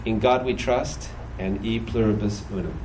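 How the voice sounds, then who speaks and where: A man's voice: lowish voice, a little mellow, slight background noise, rough recording. British man giving a chatty sermon. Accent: British, softened by years in America. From British, raised in several countries. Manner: conversational and good-humored, many "you know"s, puts on quick voices. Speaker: church speaker. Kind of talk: Sunday sermon with personal testimony. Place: church hall stage. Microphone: microphone on a stand.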